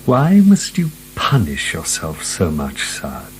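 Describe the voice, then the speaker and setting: An actor in a radio drama playing a gentle, cautious companion, pleading in an earnest, soothing way. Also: male voice, low voice, huge pitch swings, slow pace, faint music behind, heavily acted, poor audio. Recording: hissy archived radio drama.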